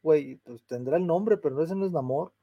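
A man's voice: on the high side, moderate pace, husky, no echo, muffled recording. A young Mexican man with a Mexican Spanish accent, ranting in casual, slang-heavy podcast chat and swearing freely. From Mexico, San Luis Potosí. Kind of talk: casual chat, film rant.

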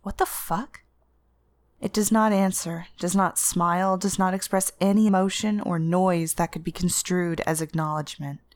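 A woman reading literary first-person fiction as narrator, with an intense, deliberate and expressive dramatic delivery. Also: on the low side, dry recording. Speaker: story narrator.

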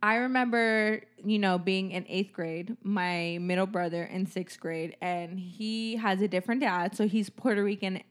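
A woman co-hosting a podcast, chatting casually, full of "like" fillers and quick to laugh. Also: medium pitch, fairly even intonation. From United States. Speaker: podcast co-host. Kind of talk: casual conversation.

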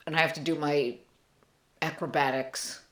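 A woman's voice: deep voice, steady tone, normal speed, a bit bright. Dry-spoken woman in an echoey room. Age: 30s. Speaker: podcast co-host. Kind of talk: casual podcast banter.

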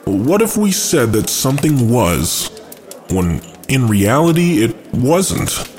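A man acts as a brooding, cunning angel with a medium-pitched voice that moves expressively. He talks slowly and musingly, with measured pauses, as an audio drama schemer. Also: sing-song, darkish tone. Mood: musing, sly.

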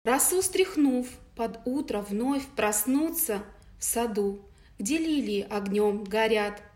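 A young woman, a guest poet, speaking gently in a casual chat and reading her poems. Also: fairly high pitch, lively intonation, slow. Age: young adult. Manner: gentle, soft-spoken.

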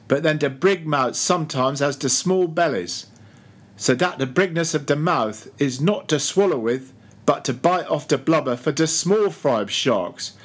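A man voicing a grumbling old ship's cook who preaches in heavy dialect with a shrill voice, for a storybook reading. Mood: preaching.

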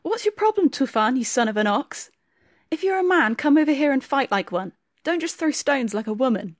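A woman voicing a strong, proud male village blacksmith who shouts insults at his rival. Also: high-pitched, lively intonation, moderate pace, dry recording, studio quality, pitched above the performer's own voice. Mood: defiant shouting.

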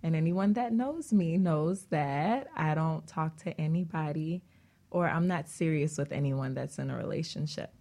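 A woman's voice: sits low, moderate pace, mellow. A woman guest on a talk show, chatting casually and telling personal stories. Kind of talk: casual chat, personal storytelling.

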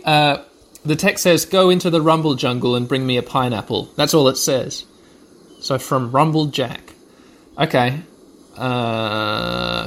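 A man's voice: on the high side, a bit bright. A man hosting a podcast, giving chatty, wry running commentary as he plays a video game for listeners. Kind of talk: narrating gameplay to listeners.

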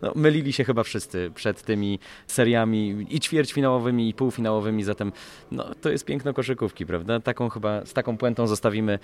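A man's voice: fairly low pitch, fairly quick, a little mellow. A man hosts a sports podcast. He talks conversationally and at length, often reaching for the wording. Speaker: podcast host.

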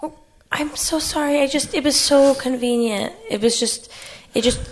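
A woman playing a breezy, evasive, apologetic lover in improvised radio comedy, speaking haltingly and with understatement. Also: high voice, a little hiss or hum, lightly acted.

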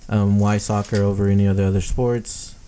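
A man's voice: on the low side, little pitch movement, brisk, warm tone, a little hiss or hum. A man co-hosting a podcast, asking casual, rambling questions in an informal way. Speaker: podcast co-host. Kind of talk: casual interviewing.

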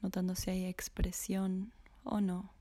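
A woman slowly guiding a body scan meditation in a soft, half-whispered voice. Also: on the low side, little pitch movement. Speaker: meditation guide.

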